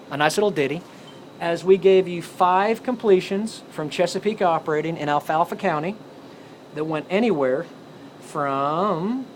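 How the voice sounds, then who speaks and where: An American man hosting an amateur radio show, rambling off the cuff in a folksy, jokey way with theatrical flourishes. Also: a little high. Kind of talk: rambling ad-libbed radio show commentary on news. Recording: clearly noisy recording with ambient sound.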